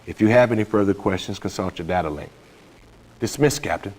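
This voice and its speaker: A man's voice: sits low, brisk, heavy background noise, lightly acted. A middle-aged man playing a commanding, businesslike military officer delivering a formal mission briefing in a video game. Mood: stern.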